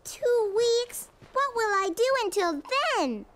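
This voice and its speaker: An actor voicing a curious, excitable four-year-old boy in a preschool cartoon, with simple, eager, childlike phrasing. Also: extremely high pitch, slow pace, background noise, full character voice. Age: young child character.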